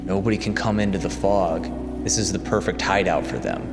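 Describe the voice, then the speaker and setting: A man's voice: low voice, brisk, in a large hall, music in the background. A man talking casually as a player in a tabletop role-playing game, slipping between narrating and speaking in character.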